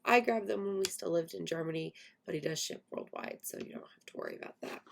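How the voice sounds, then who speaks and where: A woman in her late twenties hosting a casual podcast, croaky and hoarse while getting over an illness, with a voice that cracks now and then. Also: on the low side, fast, a little hiss or hum. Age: 20s. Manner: chatty, self-deprecating, laughs often. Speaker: podcast host. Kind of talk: casual chat.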